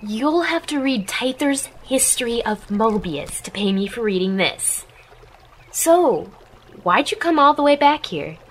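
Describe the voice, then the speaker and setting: Slow-paced, level-headed woman playing a studious heroine. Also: on the high side, sing-song, slight background noise. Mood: casual. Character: Zephyr, level-headed studious young female friend. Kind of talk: amateur radio drama acting.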